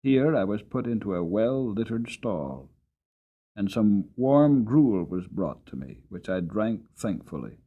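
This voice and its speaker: Storybook narrator: long-suffering horse acted by a man, slightly low register, very animated intonation, deliberate and earnest. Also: breathy edge, narrow, tinny audio, heavily acted. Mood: earnest, reflective. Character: weary, long-suffering male horse telling his life story.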